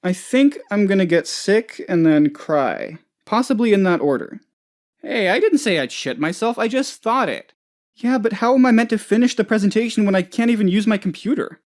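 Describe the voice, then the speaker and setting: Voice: mid-range voice, lively intonation, moderate pace, a little mellow, lightly acted. An actor playing a dim, anxious, childishly self-important office boss in a comedic video game, rambling hesitantly and turning whiny when upset. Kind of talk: comedic game dialogue, voice lines.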